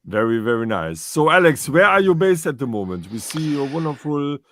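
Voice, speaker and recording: A man's voice: on the high side, big pitch swings, moderate pace, a bit bright, no room sound, clean studio sound. Man hosting a podcast chat. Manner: polite, asks short questions. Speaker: podcast co-host. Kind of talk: interview chat.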